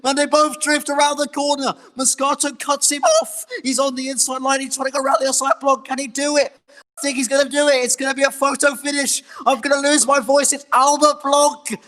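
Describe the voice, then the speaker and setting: A man calling a sim race with a high, excitable voice that keeps climbing toward a shout. He sounds hoarse, as if his voice is wearing out. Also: a little fast. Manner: excitable, rising to shouts in tense moments. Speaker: race commentator. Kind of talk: sim racing commentary.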